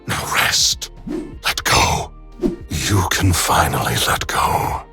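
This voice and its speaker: A vengeful, tormented wraith from a video game. The voice is extremely deep and full of gravelly creak, dragging slowly through each phrase over music. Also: male voice, lively intonation, sharp tone, full character voice. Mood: remorseful. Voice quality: gravelly, rasping.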